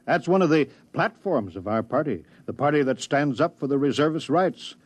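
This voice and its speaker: A man's voice: on the high side, animated, normal speed, husky, no room sound. An actor playing an opinionated, lecturing father and party loyalist in a 1950s radio drama. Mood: pompous. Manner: pompous, lecturing.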